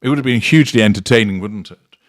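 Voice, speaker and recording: A man's voice: fairly low pitch, quick pace, dry recording, clean studio sound. A man hosting a commentary show, delivering scripted sarcastic remarks in a mocking, drily theatrical manner.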